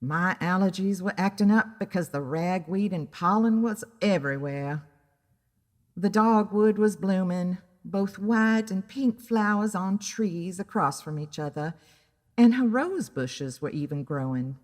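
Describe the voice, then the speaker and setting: A woman playing a wry, easily annoyed private investigator who narrates her own story in a deliberate, dramatic way. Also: mid-range voice, big-room sound, lightly acted. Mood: wry, matter-of-fact. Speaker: narrator and lead character of an audio drama. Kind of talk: scripted first-person detective story narration.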